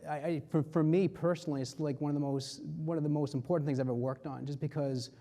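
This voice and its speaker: A man's voice: fairly high pitch, fairly even intonation, fast talker, dark tone. A man speaking as an advertising executive, giving an informal, conversational presentation at a conference, repeating phrases for emphasis.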